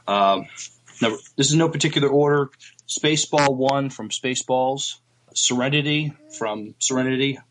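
A man co-hosting a casual podcast, speaking in a halting way with frequent pauses. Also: medium pitch, a bit bright, low-quality recording. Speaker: podcast co-host.

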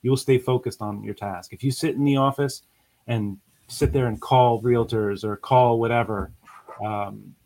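A man's voice: medium pitch, steady tone, moderate pace, a little mellow. A man in the middle of his range answers a podcast host's questions. He speaks in short, emphatic, direct sentences. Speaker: podcast guest. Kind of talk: casual interview chat.

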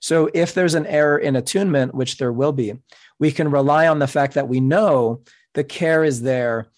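A middle-aged man whose first language is Spanish, speaking as a podcast guest in an earnest, measured, explanatory way. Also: fairly high pitch. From New York City. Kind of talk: reflective interview talk.

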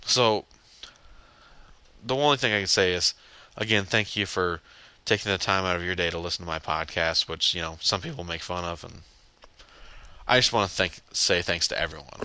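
A man's voice: sits low, normal speed, pushed and bright, smooth, no room sound. Congested young man ranting on a podcast. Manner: blunt, swearing, rambling. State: congested, stuffy nose. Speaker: podcast host. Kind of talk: casual solo podcast rant.

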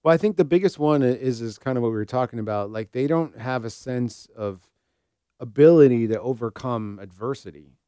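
A man's voice: medium pitch, expressive, a little fast, dark tone, smooth, dry recording, studio quality. A man hosting a podcast in casual conversation, thinking aloud in loose, informal sentences. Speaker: podcast host.

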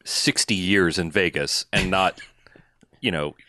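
A man co-hosting a casual podcast, talking hesitantly with many fillers before jumping up in excitement. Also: low-pitched, takes it slowly, dry recording. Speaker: podcast co-host. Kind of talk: casual chat about a movie.

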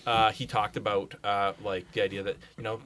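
A man's voice: on the low side, flat delivery, fairly quick, fairly bright tone, a little hiss or hum. A man chatting casually as a podcast guest, telling stories in a rambling way full of "like"s. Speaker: podcast guest, comics blogger.

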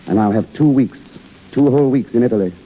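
An actor playing an assertive but polite, wry young engineer in a 1930s radio play. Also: male voice, mid-range voice, fast talker, natural voice. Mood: surprised, wistful. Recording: old 1930s radio broadcast, narrow muffled band.

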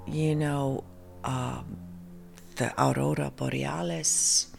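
A woman's voice: a bass voice, fairly even intonation, slow pace, gravelly, darkish tone, soft background music. A middle-aged Icelandic woman, a fashion designer being interviewed, speaking English with an Icelandic accent, hesitant and full of fillers and restarts. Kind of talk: interview conversation.

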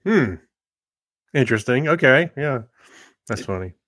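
A man's voice: medium pitch, lively intonation, a little slow, fairly bright tone, no echo, studio quality. A middle-aged man chatting casually as a podcast co-host. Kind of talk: casual chat.

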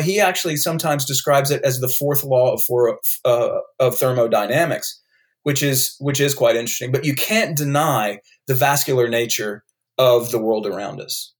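A man with a North Carolina accent talks through an idea with open enthusiasm. He stops and restarts now and then as he explains. His voice sits at an ordinary pitch. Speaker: podcast guest, author. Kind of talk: conversational interview explanation.

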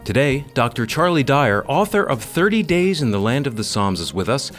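A man's voice: mid-range voice, sing-song, moderate pace, a bit bright, soft background music. A man introducing a radio program as its announcer, reading a scripted intro. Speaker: radio show announcer and co-host.

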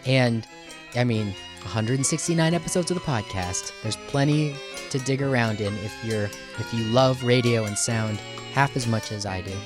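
Man, podcast host, conversational, unscripted, halting, rambling asides, middle pitch, music behind. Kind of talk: casual unscripted podcast sign-off to listeners.

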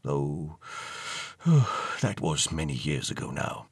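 A man voicing an elderly gentleman ghost from a storybook, courtly, mournful and pompous, with formal old-fashioned phrasing. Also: a bass voice, big pitch swings, moderate pace, vocal fry, slightly rough, dry recording, voice pushed down, full character voice.

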